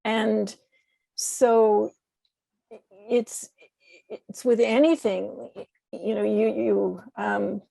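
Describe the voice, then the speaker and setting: An American woman speaking as a guest poet in a reflective interview, halting and searching for words as she corrects herself. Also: mid-range voice, fairly even intonation, gravelly, dark tone, no echo, rough recording. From United States.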